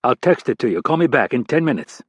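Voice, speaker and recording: A man's voice: medium pitch, sing-song, quick pace, husky, no room sound. A man voicing a stern, intimidating male FBI executive who barks orders at a junior agent. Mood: stern, threatening. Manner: barking, intimidating.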